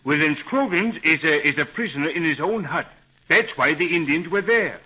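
An actor playing a loyal, scrappy, folksy adventurer in a 1930s radio adventure serial. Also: male voice, fairly high pitch, expressive, moderate pace, breathy edge. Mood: determined. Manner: folksy, plain-spoken. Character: Pat, a loyal, scrappy grown man, adventurer ally. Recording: muffled, phone-like 1930s radio recording.

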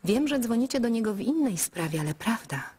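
An actress voicing a lyrical, coy female answering machine in a radio play, speaking in a dreamy, breathy half-whisper. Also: on the high side, big pitch swings, a little fast, a little mellow. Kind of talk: radio drama acting.

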